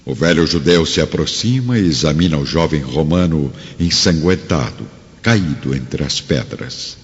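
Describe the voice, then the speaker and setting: A man's voice: extremely low pitch, animated, a little slow, big-room sound, highs cut off. A man with a Brazilian accent giving solemn, dramatic scripted narration for a radio drama.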